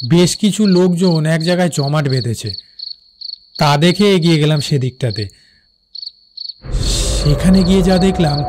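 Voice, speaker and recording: A man playing a shaken railway officer who recounts a ghostly encounter in an animated horror story, with suspenseful, halting delivery. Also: a little high, noisy background, lightly acted. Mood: grave. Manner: suspenseful, halting, repeats words for effect.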